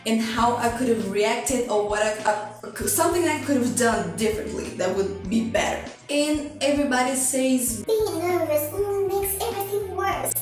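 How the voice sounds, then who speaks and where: A woman's voice: high voice, recorded from a distance. A young woman from Brazil with a Brazilian Portuguese accent, talking in a chatty, self-deprecating way to her own camera. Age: young adult. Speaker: vlogger. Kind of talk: candid personal vlog monologue. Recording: echoey room, off-mic, music under.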